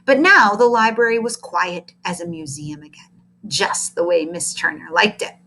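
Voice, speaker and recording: A woman reading a children's storybook aloud as narrator, in an expressive, deliberate manner. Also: on the low side, slight background noise. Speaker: storybook reader.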